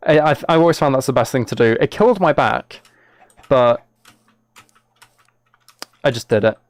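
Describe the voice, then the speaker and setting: A young British man streaming a game and chatting as he plays. His voice is smooth and clear, captured close and dry. He talks casually, with wry sarcasm and playful mock exasperation. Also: medium pitch, normal speed, no echo. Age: late teens to early 20s. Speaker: live streamer. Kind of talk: casual gaming livestream chat, commentary.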